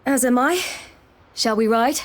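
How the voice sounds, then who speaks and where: A woman's voice: on the high side, a little slow, lightly acted. A woman playing a self-possessed, guarded young adventurer in a video game. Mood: teasing. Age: young adult. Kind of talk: dramatic video game acting.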